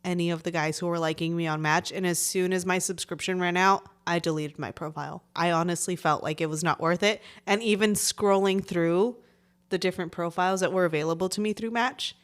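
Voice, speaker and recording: Lower-voiced woman in her forties. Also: fairly even intonation, brisk, clear, resonant tone. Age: 40s. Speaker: podcast co-host. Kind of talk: casual conversational banter.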